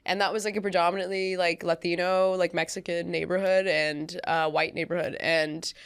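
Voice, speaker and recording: A woman's voice: on the low side, flat delivery, normal speed, clean tone. A young adult woman, born in Sri Lanka and raised in San Diego, chatting casually as a podcast guest in a laid-back, talkative way. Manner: chatty, laid-back, many fillers and asides.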